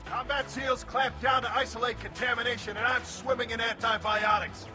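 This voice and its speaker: A man's voice: very high-pitched, little pitch movement, normal speed. A man playing a defiant alien marine squad leader in a video game, with clipped military talk through a helmet filter. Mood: defiant, grim. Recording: game audio with music behind. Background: music.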